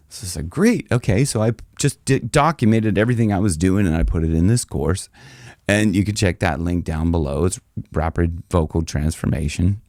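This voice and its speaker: A man's voice: fairly low pitch, a little mellow, dry recording, clean studio sound. A man who is a rock singer and vocal coach, talking casually to the camera with a loose, rambling delivery full of restarts. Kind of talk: casual direct-to-camera advice.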